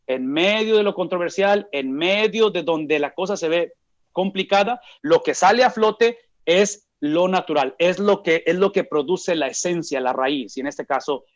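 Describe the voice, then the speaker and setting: A Mexican man in his forties leads a Bible study. He speaks in a slightly raised register and presses each point home by asking himself questions, then answering them. The recording sounds dull and lo-fi. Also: on the high side. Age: 40s. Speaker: Bible teacher. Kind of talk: Bible study teaching to a group.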